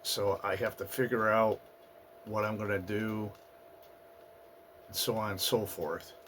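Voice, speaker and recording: A man hosting his own channel, talking through his past year in a halting, personal monologue, his voice raspy and hoarse from a recent flu. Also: fairly low pitch, fairly even intonation, fairly bright tone, a little hiss or hum. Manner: halting, with fillers and restarts. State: getting over a flu, hoarse. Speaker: channel host. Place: at home in the US Northeast.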